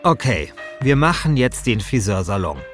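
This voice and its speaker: Man narrating a podcast with lively intonation. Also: mid-range voice, moderate pace, soft background music. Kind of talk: scripted first-person podcast narration.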